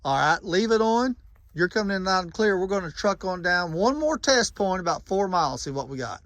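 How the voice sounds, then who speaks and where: Higher-voiced man, casual and folksy. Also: animated, fairly quick, fairly bright tone, dry recording. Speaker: vlogger testing walkie-talkies. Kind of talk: casual running commentary and radio check calls.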